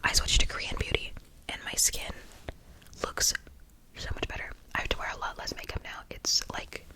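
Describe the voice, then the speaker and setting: A video creator speaking in a breathy whisper, casually reacting to stories in a gushing, slangy way with emphatic repetition and rambling asides. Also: normal speed. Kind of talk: whispered ASMR storytelling and reaction, casual chat.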